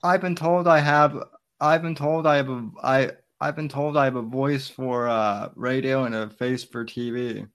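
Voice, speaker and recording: A man's voice: mid-range voice, fairly even intonation, normal speed, fairly bright tone. A young man hosting a casual livestream, stumbling and repeating his words as he starts sentences. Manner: hesitant, repeats his words, reads chat. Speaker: livestream host.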